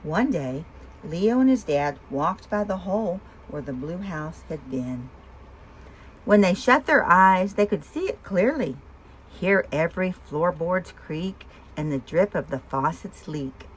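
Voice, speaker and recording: Lively low-pitched woman reading a picture book. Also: measured pace, a little hiss or hum, rough recording. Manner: asks listeners simple questions between story lines. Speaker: storyteller and host of a read-aloud show. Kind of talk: expressive picture-book read-aloud for young children.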